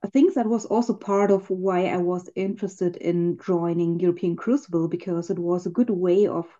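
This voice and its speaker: A woman's voice: on the low side, steady tone, moderate pace, warm tone, dull audio. A woman researcher answering interview questions, explaining her work in a friendly way with a few hesitations. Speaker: physicist, interview guest.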